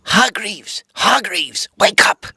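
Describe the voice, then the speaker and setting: A man playing a shrewd, eccentric Edwardian occult detective in an audio drama, creaky-voiced, deliberate and theatrical. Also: sits high, animated, pushed and bright, full character voice. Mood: urgent. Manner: deliberate, theatrical, musing then exclaiming.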